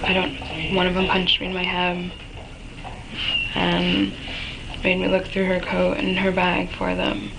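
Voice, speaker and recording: Slow, flat-voiced woman with creaky voice. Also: lowish voice. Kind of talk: halting spoken account in a recorded interview. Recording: noisy room recording, clear background noise. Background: noise.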